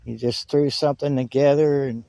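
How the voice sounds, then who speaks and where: A man's voice: mid-range voice, steady tone, normal speed, vocal fry, mellow, no echo. Folksy man with a close, dry sound. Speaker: video host reviewing walkie-talkies. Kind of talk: casual talk to camera and over a radio. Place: outdoors on a hill.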